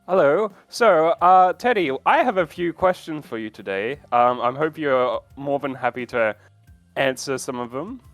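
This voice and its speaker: A man's voice: a little high, lively intonation, measured pace, a bit bright, dry recording, faint music behind. A man hosting an interview in a casual, polite and slightly hesitant way. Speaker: interviewer, host.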